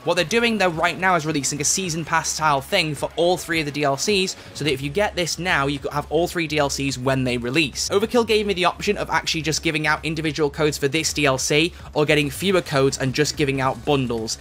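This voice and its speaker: UK gaming YouTuber, male, rapid delivery, bit higher than average, voice slightly off from illness, chatty voice-over. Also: fairly bright tone, slight background noise. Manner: upbeat, chatty, self-correcting. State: voice affected by illness. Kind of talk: video game update breakdown.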